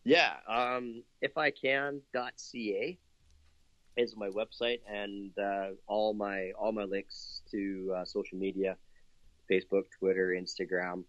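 A man's voice: fairly low pitch, level tone, takes it slowly, fairly bright tone, no room sound, highs cut off. A man from Canada, a guest in a casual interview, upbeat and chatty with plenty of fillers and laughter.

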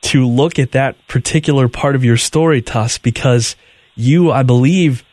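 A man's voice: medium pitch, normal speed, a little mellow, no room sound. A man hosting a radio interview, conversational, guiding the guest with short questions and affirmations. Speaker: radio host.

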